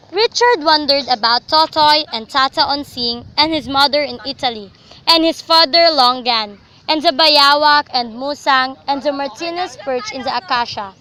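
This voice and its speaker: A woman's voice: sits high, sharp tone, poor audio. A young woman narrating a scripted story for a radio drama.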